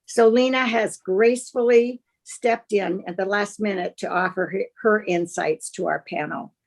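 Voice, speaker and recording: An older woman moderating a design panel discussion over a video call, measured, gracious and formal in her delivery. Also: mid-range voice, vocal fry.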